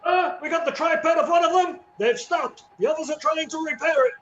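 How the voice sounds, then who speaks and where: Very high-pitched army observer acted by a man. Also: brisk. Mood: urgent. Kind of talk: radio play acting. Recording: noisy, echoey, dull lo-fi sound.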